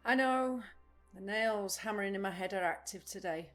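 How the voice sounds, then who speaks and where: A woman playing a blunt, literal, restless adult woman in a naturalistic audio drama, with a husky voice and an insistent manner. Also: mid-range voice, normal speed, fairly bright tone, soft background music, close to an everyday voice. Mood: agitated.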